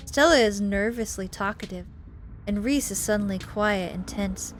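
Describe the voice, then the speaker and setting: Expressive woman narrating a game over music. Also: mid-range voice. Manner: expressive, reacting aloud in exclamations. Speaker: gaming video host. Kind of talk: let's play commentary and reading aloud of a visual novel.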